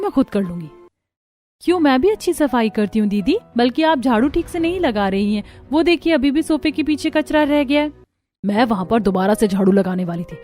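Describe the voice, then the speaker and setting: A woman voicing a proud, jealous young daughter-in-law in an animated family story, arguing emphatically. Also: a little high, natural voice. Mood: quarrelsome. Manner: emphatic, argumentative.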